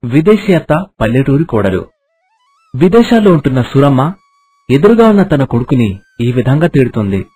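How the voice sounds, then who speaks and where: A man with a husky voice narrating a scripted moral story in an expressive storytelling style. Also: mid-range voice, normal speed, pauses often. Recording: music under the voice.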